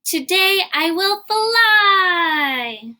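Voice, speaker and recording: A woman voicing an excited little pig girl who wants to fly, in a children's storybook reading, speaking eagerly and chanting. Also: very high voice, very slow, voice pushed up, heavily acted.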